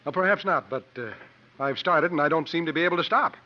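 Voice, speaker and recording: A male actor plays a confident travelling strongman in a vintage radio drama. His voice sits a little high and his phrasing is formal and theatrical. The old recording is muffled. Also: fast, close to an everyday voice. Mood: assured, probing. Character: confident travelling strongman showman, uncle, playing sleuth. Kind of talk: 1950s radio drama acting.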